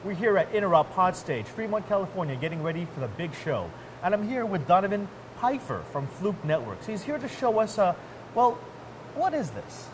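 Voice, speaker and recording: A man's voice: sits high, big pitch swings, moderate pace. A man in his thirties hosting a conversational tech interview in a casual, geeky, enthusiastic way. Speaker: host interviewing at a tech trade event. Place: large indoor warehouse staging space. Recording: clear background noise of a warehouse.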